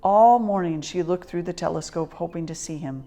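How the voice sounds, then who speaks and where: A woman reading a children's picture book aloud as an expressive, dramatic storyteller. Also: on the low side, normal speed. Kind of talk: expressive scripted story narration.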